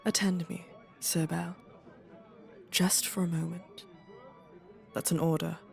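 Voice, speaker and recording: Casting: actress as a world-weary, middle-aged queen for fantasy audio drama. Gravelly fry, regal measured delivery, dry fond wit. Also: lowish voice, little pitch movement, faint music behind. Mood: commanding. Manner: stately, measured, wry.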